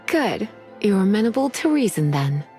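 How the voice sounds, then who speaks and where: A woman's voice: medium pitch, very animated, normal speed, mellow, close to an everyday voice. Woman as a languid, teasing librarian mage. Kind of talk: game voice acting. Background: faint game music.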